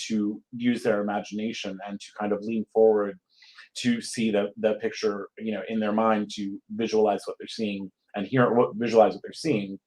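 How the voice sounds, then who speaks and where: A man from Connecticut, a playwright, answering interview questions casually in a thoughtful, halting way with many pauses. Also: on the low side, darkish tone, low-quality recording. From Connecticut, United States. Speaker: guest playwright.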